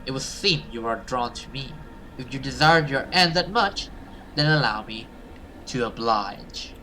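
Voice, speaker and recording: A man voicing a taunting, menacing female monster from a horror visual novel, slowly and with a breathy hiss. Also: mid-range voice, lively intonation, bright, forceful tone, soft background music, poor audio. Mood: taunting menace. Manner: slow, taunting, threatening.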